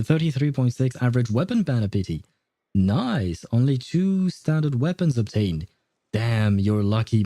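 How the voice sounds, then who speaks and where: Male streamer, easygoing mellow tone, wry informal chat, dry mic, light game music. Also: medium pitch, no echo. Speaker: game livestreamer. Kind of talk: casual live gaming commentary, chatting with viewers.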